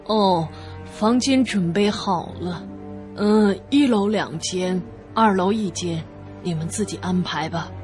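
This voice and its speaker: A man acts out an ominous innkeeper in a suspense radio play. He speaks very slowly, drawing out each warning, with music clearly playing underneath. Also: sits high. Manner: slow, drawn-out, ominous warning tone. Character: slow-spoken, ominous innkeeper warning guests. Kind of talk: Chinese suspense radio drama acting. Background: background music.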